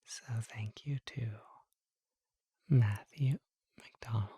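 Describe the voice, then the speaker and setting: An ASMR creator speaking to listeners in a very soft, breathy whisper. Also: medium pitch, extremely slow, no echo, studio quality.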